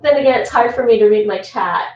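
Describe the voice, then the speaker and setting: A woman's voice: fairly high pitch, quick pace, distant mic, muffled recording, slight background noise. A woman from Toronto, Canada, chatting casually with her stream chat while cooking in a home kitchen, laughing often. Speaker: livestreamer. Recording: echoey room, voice off-mic.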